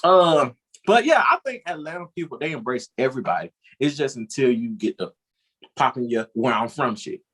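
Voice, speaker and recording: A man's voice: mid-range voice, animated, moderate pace, a bit bright, husky. A man hosting a casual conversation, laid-back and swearing freely. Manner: laid-back, casual swearing, "you know what I'm saying". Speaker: host.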